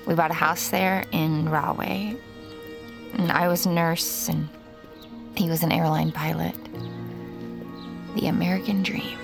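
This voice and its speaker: Slow, creaky-voiced anguished mother. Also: lowish voice, fairly even intonation, music in the background. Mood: sorrowful, confessing. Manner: tender, halting, emotional. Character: anguished, protective mother confessing a family secret. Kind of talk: audio fiction acting.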